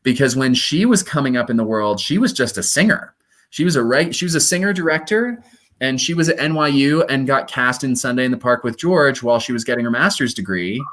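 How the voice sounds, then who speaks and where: A young man from Colorado, a stage performer, chatting casually in an interview with lively, self-deprecating asides. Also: mid-range voice, brisk. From Colorado, USA. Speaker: guest performer.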